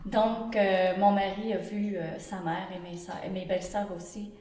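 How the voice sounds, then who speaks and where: A Québécois woman in her sixties speaking in a group interview, earnest and halting, often searching for her words. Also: medium pitch, fairly even intonation, very noisy. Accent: Québécois French. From Quebec. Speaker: interview participant. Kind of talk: reflective group interview testimony. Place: indoor room with a pump running. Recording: very echoey room, distant mic.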